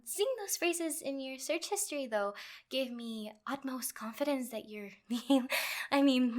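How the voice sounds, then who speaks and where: Woman acting a high-voiced, flustered yandere girlfriend. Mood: earnest, smitten. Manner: flustered, halting stammers, giggly, rambling. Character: nervous, sweetly clingy yandere girlfriend, flustered and possessive. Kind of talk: girlfriend roleplay audio, comedic.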